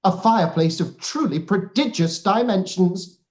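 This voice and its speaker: A woman playing a pompous, self-satisfied clergyman in a period radio play, with drawn-out formal delivery. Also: mid-range voice, expressive, a little mellow, lightly acted. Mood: boastful.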